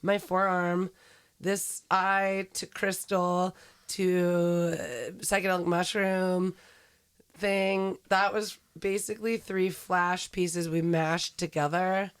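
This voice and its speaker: A woman's voice: lowish voice, level tone, slow, smooth. Chatty, candid woman podcasting. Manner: chatty, candid, playful, swearing mildly. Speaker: podcast host. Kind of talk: casual banter on a podcast.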